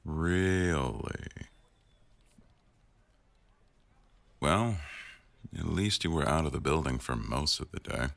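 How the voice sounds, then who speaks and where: A man playing a teasing, bossy but doting newsroom boss in an intimate boyfriend roleplay audio, with a wry, unhurried delivery. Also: rumbling low, animated, gravelly, a bit bright, no echo, full character voice. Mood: wry, fond.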